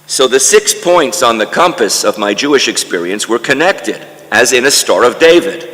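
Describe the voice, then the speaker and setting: An older man from Canada reads an autobiographical lecture in a deliberate storytelling manner, with emphatic lists, in a large conference hall. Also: medium pitch, expressive, fairly bright tone. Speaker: guest lecturer reading a memoir. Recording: echoey hall with long reverb.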